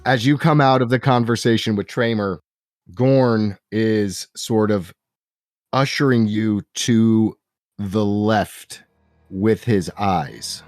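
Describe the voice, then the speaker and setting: Low-voiced man narrating fantasy over music. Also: darkish tone, no echo, studio quality. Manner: measured, deliberate scene-setting with dramatic pauses. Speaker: game master and host. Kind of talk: narrating a tabletop fantasy game.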